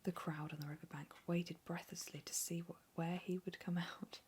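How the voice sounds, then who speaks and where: A woman reading a story aloud in a soft whisper. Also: on the low side, flat delivery, moderate pace, slight background noise.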